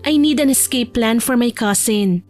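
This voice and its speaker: A woman voicing a teasing, excitable young female cousin in an audio romance drama. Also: on the high side, moderate pace, faint music behind, natural voice. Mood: affectionate. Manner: playful, teasing.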